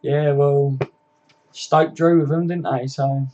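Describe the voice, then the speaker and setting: A man's voice: a little high, steady tone, slow, a little mellow, muffled recording, slight background noise. A man, hosting a casual live stream, chatting matily with viewers and laughing easily. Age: 20s.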